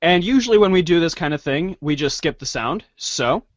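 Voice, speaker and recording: A man's voice: on the high side, expressive, brisk, dry recording. A man casually hosting a group script-reading show. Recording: dull lo-fi audio.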